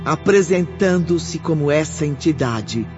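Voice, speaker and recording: A man's voice: a little high, lively intonation, a little slow. A man giving solemn, emphatic scripted narration for a radio drama. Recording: muffled audio with music behind.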